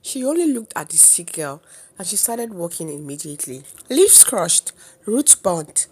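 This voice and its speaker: A woman with a Nigerian accent tells a folktale in dramatic style. Her pitch moves widely and expressively. She phrases things deliberately, with a solemn, theatrical air. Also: big pitch swings, darkish tone, breathy edge. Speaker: storyteller.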